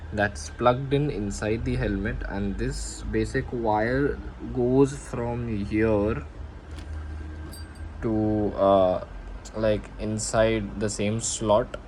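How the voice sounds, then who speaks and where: A man with an Indian accent casually showing his gear as a vlogger, unscripted and often pausing to search for words. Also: on the low side, a little mellow, a little hiss or hum. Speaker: motovlogger presenting own gear. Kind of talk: casual unscripted product show-and-tell.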